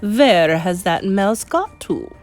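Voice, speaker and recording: A theatrical witch leader from a storybook, voiced by a woman, who drips false sweetness and then barks shrill orders. She stretches her words slowly and pushes them loud and high. Faint music plays behind her. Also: animated. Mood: gloating. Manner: syrupy sweet turning to shrill commands. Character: menacing female witch leader, scheming and theatrical.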